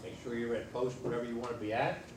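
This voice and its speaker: A man presenting a training workshop to a room of people, loud and repetitive, explaining steps in a plain-spoken way. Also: medium pitch, level tone, fast. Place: meeting room with an audience. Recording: echoey room, distant mic, noisy.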